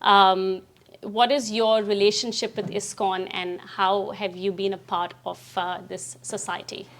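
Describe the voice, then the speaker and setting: A woman's voice: medium pitch, flat delivery, a little slow, slight background noise. A woman hosting a talk show, presenting and putting questions to guests in a studio interview style.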